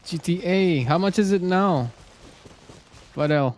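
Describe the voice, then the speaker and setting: A man's voice: high voice, a little slow. A man with an Indonesian accent chatting casually on a live game stream, switching languages and muttering to himself. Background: game music.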